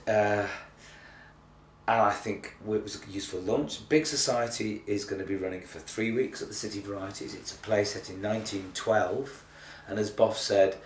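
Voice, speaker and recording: Low, slightly croaky man in an echoey room. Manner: hesitant, rambling, self-deprecating. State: tired, with a bit of a cold. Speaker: theatre artistic director giving a monthly video update. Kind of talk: casual, unscripted video blog chat. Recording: echoey, distant mic, faint noise.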